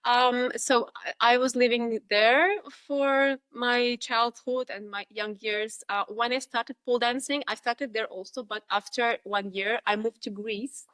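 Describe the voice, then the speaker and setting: A woman's voice: a little high, steady tone, measured pace, a bit bright, clean tone, dry recording. A woman from Russia in her thirties with a Russian accent, reflecting as a podcast guest, with many fillers and restarts. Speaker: guest, dance instructor. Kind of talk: podcast interview.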